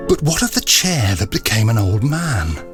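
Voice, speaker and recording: A man's voice: fairly low pitch, big pitch swings, normal speed, music in the background. A man narrating a classic period story in a scripted, dramatic style.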